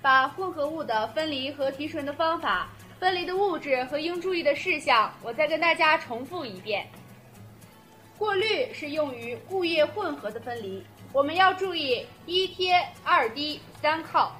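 Strict chemistry teacher woman acting, high bright voice, commanding, scolding classroom manner, radio drama. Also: expressive, normal speed, soft background music. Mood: instructive.

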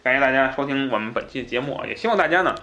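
A male podcast host with a mid-pitched voice talks casually about books. His manner is colloquial and informal, with a readiness to chuckle at his own asides. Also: lively intonation, rapid-fire, pushed and bright. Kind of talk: casual book talk show chat.

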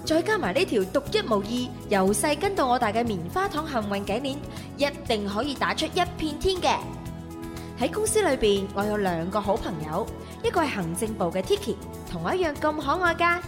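Bubbly young assistant, very lively intonation. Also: female voice, fairly high pitch, a little fast. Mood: bright, confident. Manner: chirpy, self-assured, expressive. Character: bubbly, optimistic young female artist's assistant. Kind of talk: first-person radio drama monologue. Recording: long reverb, music behind.

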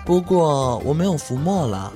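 A man's voice: fairly high pitch, big pitch swings, normal speed, warm tone, soft background music. A man voicing a cheeky, cheerful young talisman apprentice who talks in a playful, teasing way in an amateur audio drama. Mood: eager.

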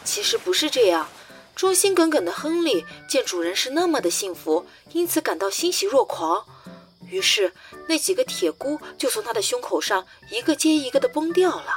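A woman playing a gentle, caring young woman in an audio drama, soothing and affectionate. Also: sits high, very animated, normal speed, soft background music. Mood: tender storytelling. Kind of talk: modern audio drama acting.

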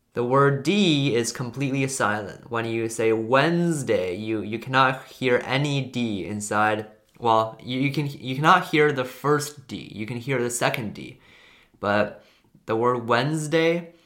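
A young man whose first language is Chinese, speaking with a bright, ringing tone. He talks to his viewers in a relaxed, chatty way, pausing and restarting his sentences as he thinks. The delivery is unscripted and friendly. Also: medium pitch. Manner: relaxed, rambling, self-correcting. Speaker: language video host. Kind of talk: casual talk to camera.

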